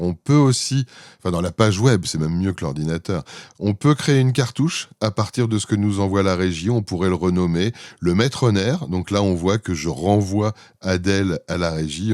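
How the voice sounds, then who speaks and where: A man's voice: deep voice, a little mellow, no room sound. A man talks through a screen demo. He explains informally and improvises, often feeling for the next word. The recording is close and dry. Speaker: presenter demonstrating his own software.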